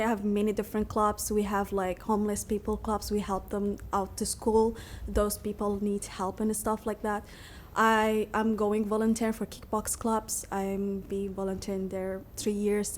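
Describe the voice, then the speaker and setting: Young woman, halting English, noisy room. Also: medium pitch, darkish tone. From Turkey. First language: Somali. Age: young adult. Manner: halting, non-fluent English. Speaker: high school student guest speaker. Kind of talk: informal self-introduction and answering questions at a public meeting. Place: meeting room at a school board session.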